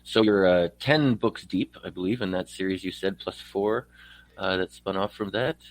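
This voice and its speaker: A man from Poland interviewing a guest on a book podcast in a conversational, somewhat halting way. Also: deep voice, low-quality recording.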